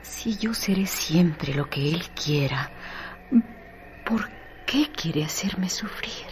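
An actress playing a devoted, anguished young woman in love in a radio drama, breathy and pleading. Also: deep voice, lively intonation. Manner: breathy whispering, slow and pleading. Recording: noisy radio drama track.

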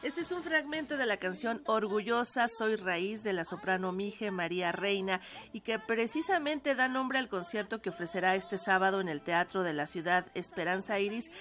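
A woman's voice: mid-range voice, fairly bright tone. A woman with a Mexican accent hosting a show, presenting and interviewing a guest. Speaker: radio and video show host.

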